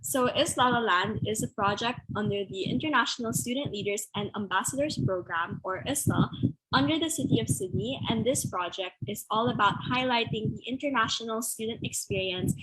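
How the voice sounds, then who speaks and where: A woman's voice: on the high side, brisk, a bit bright, breathy edge, poor audio. A young woman hosting a casual student podcast over a video call, upbeat and chatty. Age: young adult. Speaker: podcast host. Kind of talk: casual chat. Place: bedroom, indoors. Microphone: earphone mic on a video call.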